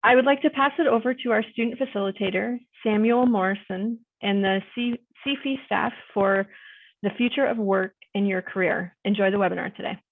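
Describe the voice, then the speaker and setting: A woman working as an alumni career coordinator giving polite, measured welcome remarks from a prepared script on a video call. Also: mid-range voice, no room sound. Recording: dry, tinny phone-like line.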